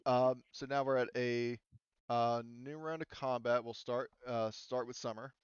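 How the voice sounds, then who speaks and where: A man's voice: medium pitch, fairly even intonation, unhurried, smooth, no echo. Man game master with a dull, lo-fi sound. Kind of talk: casual narrating of a tabletop roleplaying game.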